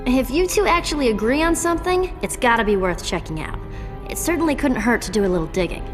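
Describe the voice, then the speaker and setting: An actress playing a clever, sharp-tongued teenage girl with a wry, exasperated manner. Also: a little high, expressive, fast, close to an everyday voice. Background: game music.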